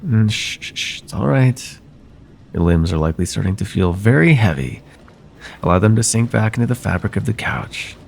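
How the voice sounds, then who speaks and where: A man playing a soothing, quietly possessive vampire therapist in an ASMR roleplay, soft-spoken and deliberate, with formal wording and hypnotic pauses. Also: fairly low pitch, lively intonation, creaky, slight background noise. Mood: coaxing, possessive. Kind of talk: ASMR roleplay, slow intimate monologue.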